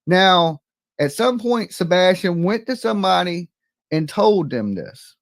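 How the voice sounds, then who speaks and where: A man with a somewhat high voice hosts a live call-in stream. He talks bluntly and confrontationally in colloquial, streetwise phrasing, and the sound is very dry. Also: expressive, measured pace, no room sound. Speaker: live-stream host taking calls.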